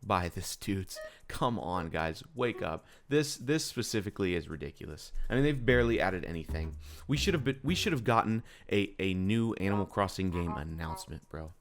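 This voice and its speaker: A man giving a casual, unscripted gaming news commentary in an exasperated, incredulous and slangy way, leaning hard on his points. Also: deep voice. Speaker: gaming video creator.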